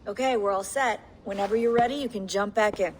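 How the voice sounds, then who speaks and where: An actress playing a brisk, practical and considerate tech operator in a naturalistic video game scene. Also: female voice, medium pitch, close to an everyday voice. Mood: practical, attentive. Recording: long reverb, faint background noise.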